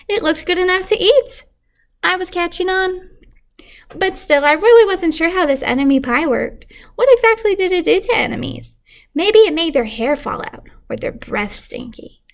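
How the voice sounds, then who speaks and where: A woman reading a picture book aloud to children in an animated way, pausing to put questions to young listeners. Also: high-pitched, creaky. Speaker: children's story reader.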